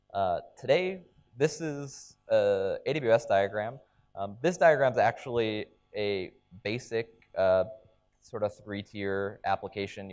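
Young man, low voice, keynote speaker, headset mic, big stage, ums and restarts. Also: a little mellow. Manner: explanatory, frequent ums and restarts. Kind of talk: conference keynote talk. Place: large conference stage.

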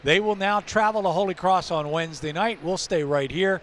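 Older male play-by-play host, slightly high voice, postgame stats wrap on headset, faint arena noise. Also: a little fast. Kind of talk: sports broadcast wrap-up. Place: courtside in a college basketball arena. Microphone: broadcast headset.

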